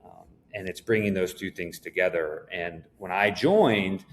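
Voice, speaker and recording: A man speaking as an executive guest in a conversational business interview, explaining things in a measured way. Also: deep voice, a little hiss or hum.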